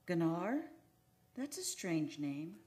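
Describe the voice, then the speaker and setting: An actress playing a mysterious, imperious sea woman who longs for love, speaking formally and deliberately in an old-time radio drama. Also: on the low side, very animated, a little mellow. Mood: yearning, grave.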